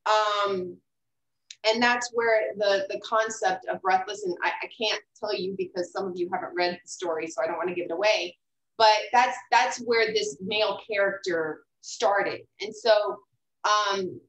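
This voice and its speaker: A woman talks through her writing in a loose, halting way, stopping on fillers and repeating words as she thinks. Her voice sits at a middling pitch. The room around her sounds echoey. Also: fairly bright tone. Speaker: guest author. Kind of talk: casual interview chat.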